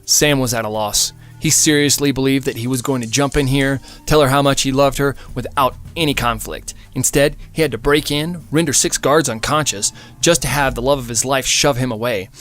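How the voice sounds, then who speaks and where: A man's voice: mid-range voice, moderate pace, few pauses, fairly bright tone. Male audiobook narrator over music. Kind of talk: dramatic scripted fiction narration.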